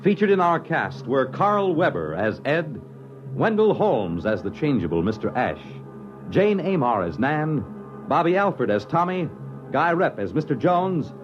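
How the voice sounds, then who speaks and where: A man's voice: mid-range voice, slightly rough. A man reading scripted announcements in the style of 1950s network radio. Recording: dull 1950s radio broadcast, faint music.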